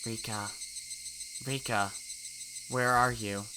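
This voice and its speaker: A woman voicing a gentle, anxious girlish deity who calls out softly and pleadingly. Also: very deep voice, steady tone, a little hiss or hum, heavily acted. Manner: soft, pleading, drawn-out calls.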